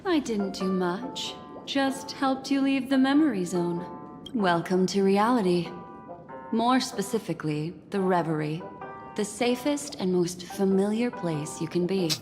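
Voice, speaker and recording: A woman's voice: mid-range voice, a little slow, natural voice. A woman voicing a poised, teasing, mysterious woman in video game dialogue. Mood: composed, gentle. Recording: long reverb, faint music behind.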